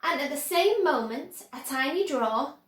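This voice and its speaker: A woman reading a children's book aloud with expressive, dramatic emphasis. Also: high-pitched, normal speed. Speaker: storyteller. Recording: echoey room.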